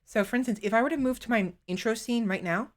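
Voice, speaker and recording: A woman streamer chatting casually into a desktop microphone, rambling and halting, often restarting her sentences and poking fun at herself. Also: on the high side. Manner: self-deprecating. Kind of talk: casual live-stream chat.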